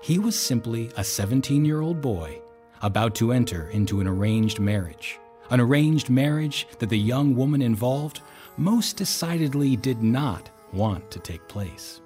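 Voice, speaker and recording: Actor as animated soldier narrator over music. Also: male voice, mid-range voice, measured pace, lightly acted. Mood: solemn storytelling. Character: loyal male aide-de-camp narrating his captain's tale. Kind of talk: dramatic audio drama narration.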